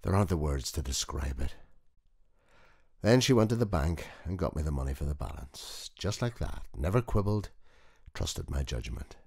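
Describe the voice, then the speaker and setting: An old working-class mechanic played by a male reader tells his story in a very deep, low voice that rises and falls with lively expression. He is a gentle, proud widower, chatty and nostalgic, as in an intimate audiobook monologue. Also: breathy edge, exaggerated voice. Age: older. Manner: conversational, wistful storytelling. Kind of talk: dramatic first-person audiobook monologue.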